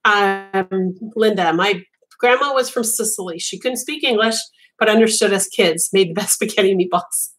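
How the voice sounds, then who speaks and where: A woman's voice: medium pitch, normal speed, fairly bright tone, rough recording. A woman whose first language was Italian, hosting a casual live chat as a crochet designer, rambling with frequent ums and restarts.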